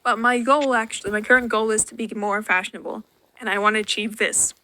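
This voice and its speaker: A woman's voice: fairly high pitch, fairly even intonation, fairly quick, pushed and bright. A young woman from Finland, a native Finnish speaker, answering viewer questions in a dry, self-deprecating voice-over. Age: young adult. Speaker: YouTuber. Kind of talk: Q&A vlog voice-over.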